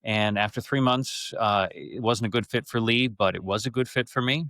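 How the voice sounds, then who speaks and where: A middle-aged man telling stories from his life as an interview guest, casual, animated and rambling. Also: mid-range voice, moderate pace. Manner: animated, rambling, acts out quoted speech. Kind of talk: casual conversational storytelling.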